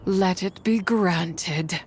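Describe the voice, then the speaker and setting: A woman's voice: lowish voice, drawn-out words, slight background noise. A woman voicing an ancient, wrathful imprisoned spirit in a video game, speaking in a solemn, haughty, theatrical way with archaic phrasing. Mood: imperious, menacing. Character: ancient imprisoned female spirit of impure energy, wrathful, imperious. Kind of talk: scripted video game character acting. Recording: music behind the voice. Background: music.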